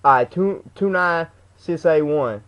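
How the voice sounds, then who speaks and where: A man's voice: a little high, measured pace, a bit bright. A young man putting on a slangy, stammering, aggrieved customer for a prank phone call. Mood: matter-of-fact. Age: young adult. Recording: dull lo-fi webcam audio.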